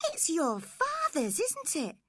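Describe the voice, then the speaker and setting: A woman voicing a spoiled, imperious teenage girl in a storybook reading, with a high, petulant delivery. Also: huge pitch swings, slow, a bit bright, no echo, studio quality, full character voice. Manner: haughty, petulant, high-pitched.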